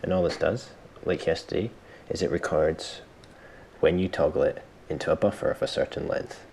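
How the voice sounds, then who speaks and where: A man presenting a software tutorial step by step, informally thinking aloud as he explains. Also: rumbling low, normal speed, gravelly, a little hiss or hum. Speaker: tutorial host.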